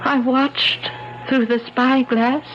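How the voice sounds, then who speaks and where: An actress playing a mournful, insistent old ghost of a sea captain's widow in a solemn, old-fashioned radio drama style. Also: female voice, high voice, flat delivery, slow, slight background noise. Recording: dull old radio recording, music behind.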